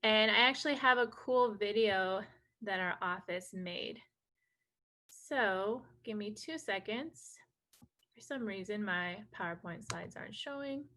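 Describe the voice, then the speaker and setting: A woman's voice: medium pitch, a little slow, pushed and bright. A woman from North Dakota, a native Hidatsa speaker, giving an informal online presentation in an upbeat, enthusiastic way as a program director. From North Dakota, USA.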